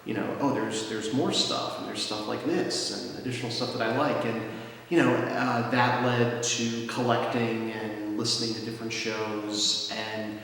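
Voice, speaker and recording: A man's voice: mid-range voice, flat delivery, moderate pace, creaky, a bit bright. A young adult man, a radio show producer and writer, chatting casually in an interview with plenty of fillers. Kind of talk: relaxed interview talk. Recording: echoey hall with music behind.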